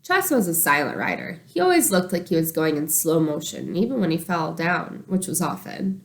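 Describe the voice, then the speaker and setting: A woman reading a children's book aloud like a teacher, expressive and animated, acting out the dialogue. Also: low voice, moderate pace, creaky.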